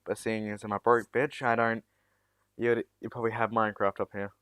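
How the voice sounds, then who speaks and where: A man's voice: lowish voice, level tone, brisk, a bit bright, clear, resonant tone, no echo, clean studio sound. Teen boy streamer from South Australia. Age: teens. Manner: rambling and self-deprecating, with many restarts. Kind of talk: casual live-stream chat. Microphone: new USB mic.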